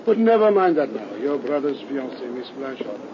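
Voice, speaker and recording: An actor playing a grave older country doctor in 1930s radio horror style. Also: male voice, mid-range voice, big pitch swings, moderate pace, close to an everyday voice. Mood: ominous, grave. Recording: crackly, muffled 1930s radio recording.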